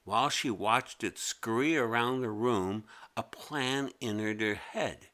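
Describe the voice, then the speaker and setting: A man narrating a children's story as a read-aloud, expressive and deliberate. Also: medium pitch.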